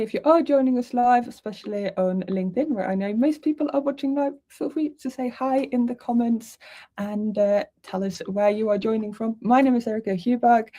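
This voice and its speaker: A woman's voice: a little high, warm tone. A woman from Sweden hosting a casual live interview in a chatty, conversational way. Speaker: livestream host.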